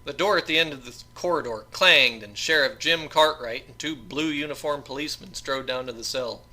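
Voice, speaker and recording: A man's voice: medium pitch, a little fast, bright, forceful tone. A man reading a novel aloud as scripted audiobook narration. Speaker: audiobook reader.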